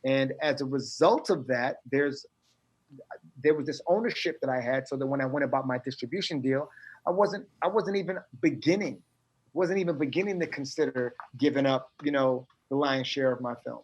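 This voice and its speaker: A middle-aged American man, a guest on a casual podcast interview, talking in an animated, emphatic, streetwise way. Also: medium pitch, moderate pace, breathy edge. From United States.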